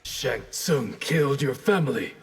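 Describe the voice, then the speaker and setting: An actor playing a stern, honourable ninja warrior, speaking in a measured, formal way in a video game. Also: male voice, mid-range voice, expressive, exaggerated voice. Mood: stern, solemn. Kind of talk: video game fight banter. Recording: clear background noise.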